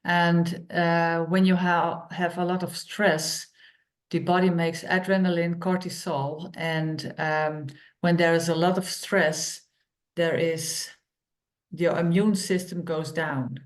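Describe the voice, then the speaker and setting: A woman, co-hosting an online workshop and telling stories in plain, unhurried phrases with many pauses. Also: fairly low pitch, flat delivery. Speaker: workshop co-host and author.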